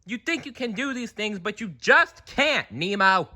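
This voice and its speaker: A man's voice: high-pitched, expressive, measured pace, sharp tone, voice pushed up, exaggerated voice. A man voicing an anxious, overprotective father clownfish from a storybook, fretful and emphatic. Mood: scolding, alarmed.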